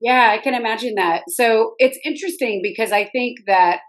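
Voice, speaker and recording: A woman's voice: on the high side, normal speed. A woman hosting a podcast interview, asking thoughtful, reflective questions. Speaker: podcast host. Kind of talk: conversational interview.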